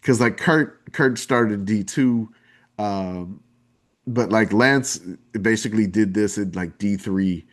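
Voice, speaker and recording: A man with a low-pitched voice and a New Orleans drawl talks in a loose, rambling way. He jumps between thoughts, restarts sentences and piles on fillers with plenty of enthusiasm. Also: slow. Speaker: podcast guest. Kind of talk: casual chat.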